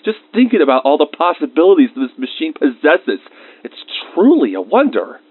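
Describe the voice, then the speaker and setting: A man's voice: on the high side, wildly swooping pitch, moderate pace. A man playing a research scientist dictating a confiding, emotionally involved audio diary of lab logs. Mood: fascinated, enthusiastic. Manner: confiding, dictated log entries with emotional asides. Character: anxious male research scientist recording lab logs, increasingly shaken. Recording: phone-like thin band-limited sound.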